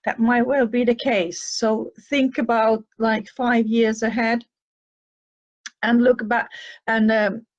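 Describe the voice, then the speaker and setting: A woman's voice: a little high, little pitch movement, takes it slowly, dull audio. An older woman from with a slight cough, hosting a show and answering listener questions in a thoughtful, hesitant way with many fillers. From Finland. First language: Finnish and Swedish. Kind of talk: advice talk answering questions.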